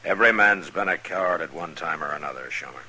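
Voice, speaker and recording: Western marshal, extremely deep, forceful, plain-spoken, steady, reassuring, old hissy radio drama. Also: male voice, fast, heavily acted.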